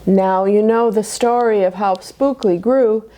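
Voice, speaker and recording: A woman reading a rhyming children's picture book aloud at a church story time, expressive, dropping to dramatic whispers. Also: mid-range voice, a little slow, noisy background.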